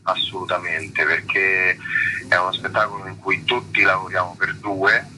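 Actor guy in an interview, deep but really sharp voice, thinks as he talks, bit of noise. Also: poor audio. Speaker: actor being interviewed about a play. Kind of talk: conversational interview answers.

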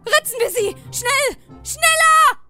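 A woman's voice: very high voice, lively intonation, slow, full character voice. A woman playing a feisty, impatient female spellcaster with a scornful, exclamatory manner in a comedic radio play parody. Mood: urgent. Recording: music bed and effects behind voice.

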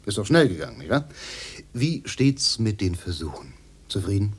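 Ein Mann spielt in einem Hörspiel einen munteren, verbindlichen Computerverkäufer, der höflich und knapp spricht. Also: male voice, on the low side, very animated, normal speed, slightly rough, full character voice. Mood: breezy, courteous. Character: breezy, obliging male computer salesman. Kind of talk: conversational radio drama acting.

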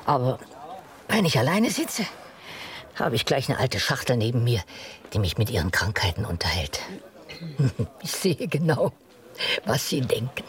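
A woman's voice: very animated, measured pace, long pauses, exaggerated voice. An actress playing a talkative, wry old German-born American grandmother and widow in a radio drama. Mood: chatty, teasing. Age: older. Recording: noisy, distant, vehicle rumble behind.

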